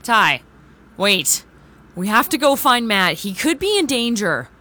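A woman acts a confident, stubborn radio host in a comic audio play. Her voice is highish and very lively, breezy and insistent, with clear noise behind it. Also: unhurried, full character voice. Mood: urgent. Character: confident, stubborn female radio host turned amateur rescuer. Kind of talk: comic radio drama acting.

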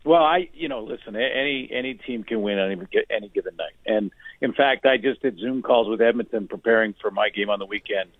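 A man working as a sports analyst, talking in a measured way on a radio show. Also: fairly low pitch, no echo. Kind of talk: casual radio sports talk. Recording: dry tinny phone line.

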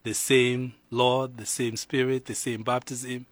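A middle-aged man from Kenya with a Kenyan accent, a pastor talking as a guest in an easygoing, soft-spoken way. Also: medium pitch, flat delivery, a little slow, dry recording. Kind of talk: casual conversation.

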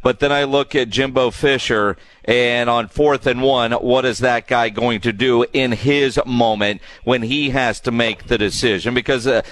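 A man's voice: mid-range voice, steady tone, a little slow, few pauses, fairly bright tone, no echo. A man hosting a sports radio show, putting questions and opinions to a guest in a conversational way.

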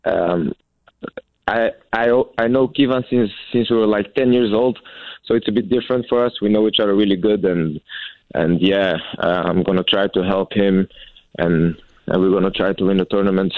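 A young man from Belgium answers questions in a very deep voice, searching for words and restarting his sentences often. The sound is thin and phone-like, with no room around it. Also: dry recording. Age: young adult. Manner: halting, many fillers and restarts. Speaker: college tennis player. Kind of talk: interview answers.

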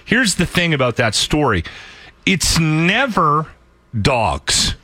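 Radio guy, higher voice that jumps around a lot, opinionated morning banter, dry studio sound. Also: very animated, slow, pushed and bright, no echo. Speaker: radio host. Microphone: close studio microphone.

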